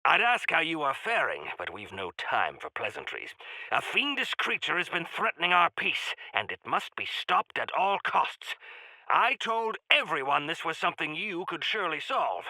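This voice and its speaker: An actor playing a spry, jovial yet fierce old rebel elder in a video game, with a gravelly voice and a grandiloquent, mischievous manner. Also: male voice, fairly high pitch, very animated, normal speed, bright, forceful tone, dry recording, heavily acted, rough recording. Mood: formal, sly. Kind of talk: theatrical video game character dialogue.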